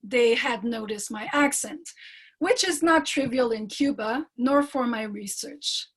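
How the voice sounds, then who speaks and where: Husky woman from montreal, giving an academic talk, lots of ums and restarts, on a video call. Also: on the high side, fairly bright tone. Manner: measured, with frequent fillers and restarts. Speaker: doctoral fellow presenting research.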